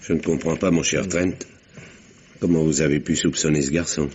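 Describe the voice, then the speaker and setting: An actor playing a courteous, puzzled gentleman in a vintage French radio mystery, asking questions politely and with some hesitation. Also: rumbling low, fairly even intonation, moderate pace. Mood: puzzled, doubting. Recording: muffled, noisy old radio recording.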